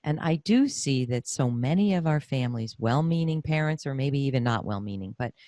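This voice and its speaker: A middle-aged woman hosting a conversational interview, animated and effusive as she thinks aloud. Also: rumbling low, a little fast, no room sound, studio quality. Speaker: podcast host and interviewer.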